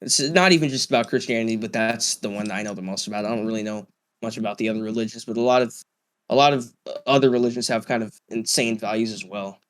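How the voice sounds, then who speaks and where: A man's voice: on the low side, fast talker. A young man hosting a casual podcast chat, swearing often and restarting his sentences. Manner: swears a lot, stammering restarts. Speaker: podcast host.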